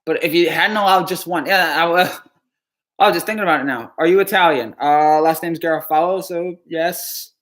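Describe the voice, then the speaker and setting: A man from New Jersey on a live stream, answering chat questions in a halting, dejected way, with frequent stammered restarts and sighs. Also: on the high side, fairly bright tone.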